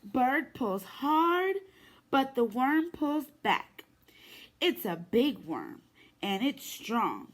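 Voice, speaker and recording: High, sing-song woman reading to little kids. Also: drawn-out words. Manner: sing-song, expressive, addressing young children. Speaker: preschool teacher. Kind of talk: children's story time read-aloud.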